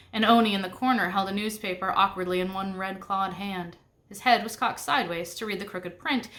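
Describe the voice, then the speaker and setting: Woman narrator, medium pitch, expressive audiobook reading, snarky first-person storytelling, middle grade fiction. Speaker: narrator reading a book aloud.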